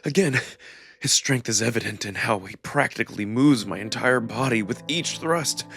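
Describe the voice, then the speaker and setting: A man narrates a scripted story in the first person over background music. His mid-pitched delivery is expressive and acted, leaning into the emotion of each phrase. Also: moderate pace, gravelly, husky. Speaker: narrator and voice performer of a visual novel. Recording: music behind the voice.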